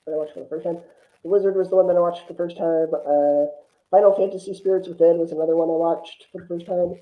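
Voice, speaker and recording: A man's voice: fairly high pitch, fairly even intonation, quick pace, phone-quality sound. A man talking casually and opinionatedly about films as a podcast guest. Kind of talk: casual film chat.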